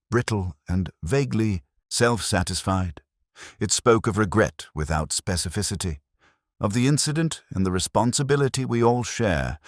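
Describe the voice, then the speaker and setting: A man performs a middle-aged, erudite detective narrating a mystery audio drama. His voice is very low and moves expressively across its range. The phrasing is deliberate, literary and brooding, captured in a dry, close sound. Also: no room sound, heavily acted.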